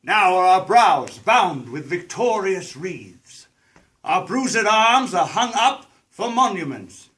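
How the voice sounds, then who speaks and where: A man playing a scheming Shakespearean villain king, declaiming a classical stage monologue in a measured, grand manner. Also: high-pitched, sing-song, fairly bright tone, distant mic, exaggerated voice. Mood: brooding, grand.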